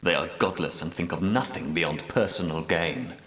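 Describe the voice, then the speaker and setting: Grave, echoing, low-voiced knight elder. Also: male voice, a bit bright. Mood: brooding. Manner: grave, portentous, measured, preaching. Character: solemn, pious leader of ancient Grail knights. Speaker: knight leader and guide. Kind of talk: scripted video game dialogue, archaic formal speech. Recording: echoey hall with long reverb.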